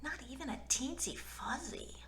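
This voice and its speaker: A woman reading a children's story aloud in an expressive, childlike, animated way. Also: sits high, normal speed, slight background noise. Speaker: children's book reader.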